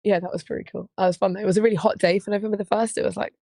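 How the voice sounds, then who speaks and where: Woman, bit hoarse and gravelly, chatty and giggly, dry close sound. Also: medium pitch, fairly even intonation, very fast, dark tone, no room sound. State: slightly hoarse. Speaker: podcast co-host, touring musician. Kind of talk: casual live podcast chat.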